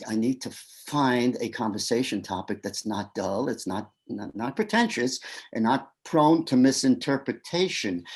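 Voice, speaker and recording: A man's voice: medium pitch, a little mellow, close to an everyday voice. An actor playing a shy, nervous man who stammers and coaches himself through small talk, in a stage comedy. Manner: flustered, stammering, self-coaching. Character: shy nervous man named Pete at a gallery. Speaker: actor in a one-act play. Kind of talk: stage comedy inner monologue. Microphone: video call.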